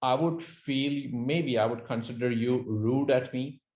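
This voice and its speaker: A Pakistani man with a mid-range voice hosts the conversation in Pakistani-accented English. His first language is Urdu, and he pauses and fills often as he searches for words. The room around him sounds roomy and reflective. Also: mid-range voice, steady tone, a little mellow. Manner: hesitant, searching for words with many fillers. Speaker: host. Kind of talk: casual business interview. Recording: echoey room.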